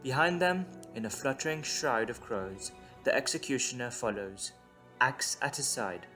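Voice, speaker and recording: A man's voice: medium pitch, moderate pace, sharp tone. A man reading scripted dramatic narration as the narrator of an audio drama. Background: music.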